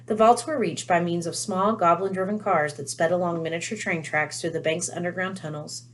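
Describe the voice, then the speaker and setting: A woman reading a children's novel aloud in an expressive storytelling style. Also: fairly low pitch, brisk, slight background noise. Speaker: read-aloud reader.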